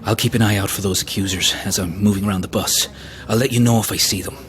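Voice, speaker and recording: A man's voice: fairly low pitch, steady tone, fast talker, a bit bright, slight background noise, natural voice. A man playing a nervy, scheming coach passenger in a naturalistic audio drama, conspiratorial and grumbling.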